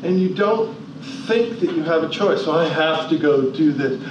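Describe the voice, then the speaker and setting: A man's voice: fairly high pitch, lively intonation, normal speed. A man in his forties from New Jersey, giving a motivational talk with emphatic rhetorical questions and shifting pace. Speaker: motivational speaker. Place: large hall. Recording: echoey, distant, dull hall recording with noise.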